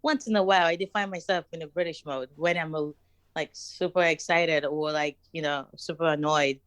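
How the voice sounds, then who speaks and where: A Nigerian woman talks in a frank, emphatic podcast chat. She is quick to laugh. Her voice sits a touch low, and the sound is close and dry. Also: fairly even intonation, a bit bright, smooth, no room sound. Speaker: podcast guest.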